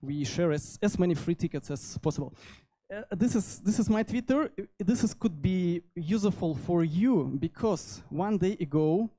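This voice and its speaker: A man in his thirties with a Russian accent giving an informal technical talk into a handheld microphone, halting often as he goes. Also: high voice, animated, mellow. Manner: halting delivery with frequent hesitations. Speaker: conference speaker, software developer. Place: indoor meetup venue.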